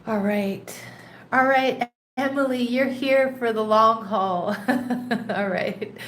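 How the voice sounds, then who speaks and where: A congested, slightly nasal woman hosts a live tarot stream in an unhurried, chatty way, laughing lightly as she talks to her viewers. Her voice sits a bit high. The sound carries a roomy echo with a faint hiss underneath. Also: a bit bright. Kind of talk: casual live-stream chat. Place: home in a rainy tropical place. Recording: echoey room, faint background noise.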